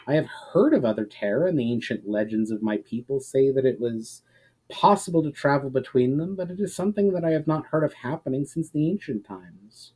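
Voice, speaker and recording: A man's voice: medium pitch, expressive, brisk, darkish tone, slightly rough, low-quality recording. A man voicing an indignant, determined male elf in a fantasy story, insistently demanding answers. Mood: shocked. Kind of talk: fantasy audiobook dialogue.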